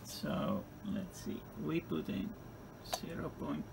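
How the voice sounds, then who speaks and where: Gravelly-voiced man explaining gear. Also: on the high side, big pitch swings, takes it slowly. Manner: hesitant, unscripted explaining. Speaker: host demonstrating radio equipment. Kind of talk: informal hands-on demonstration. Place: indoor electronics lab bench. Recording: noticeable background noise in workshop.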